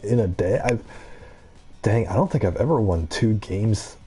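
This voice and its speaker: A man with a low-pitched voice giving casual gameplay commentary, rambling in a halting way and circling back on his thoughts. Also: mellow, breathy edge, soft background music. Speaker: gaming video creator.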